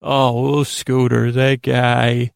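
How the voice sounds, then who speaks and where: A man hosting a sleep podcast in a rambling, informal bedtime monologue, halting and self-deprecating, with a gravelly voice. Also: mid-range voice, flat delivery, no echo, clean studio sound. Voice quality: gravelly, creaky.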